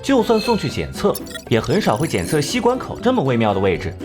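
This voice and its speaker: Arrogant young-sounding sleuth, swooping intonation, measured condescending explanations, animated crime drama, music behind. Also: male voice, fairly high pitch. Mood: coolly explaining. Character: cool, arrogant genius male detective, sharp-tongued and composed.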